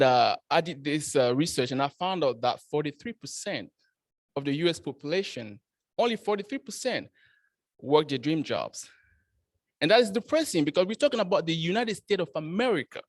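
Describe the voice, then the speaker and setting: A man from Cameroon giving his first prepared club speech, asking the audience questions and often restarting his words with lively emphasis. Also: a little high, normal speed, dry recording, studio quality.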